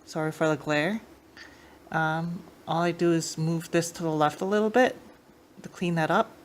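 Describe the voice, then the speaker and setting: Low-pitched woman, casual how-to, noisy recording. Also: steady tone, normal speed. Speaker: host of a tape deck tech channel. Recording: clear background noise, room ambience.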